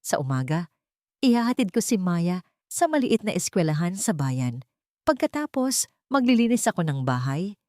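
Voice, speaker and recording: A Filipino woman narrates a dramatic first-person story in a scripted audiobook style. Her voice sits fairly low yet moves widely in pitch, and the recording is close and dry. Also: a little mellow, no room sound.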